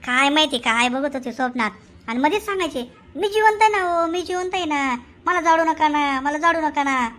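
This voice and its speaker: Lady doing high voiced gossipy village neighbour, khandeshi marathi accent, cartoon comedy, lots of exclaiming. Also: normal speed, fairly bright tone. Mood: teasing, curious. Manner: exclamatory, gossipy, rural colloquial. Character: teasing, chattering village neighbour woman. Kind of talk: comic cartoon voice acting.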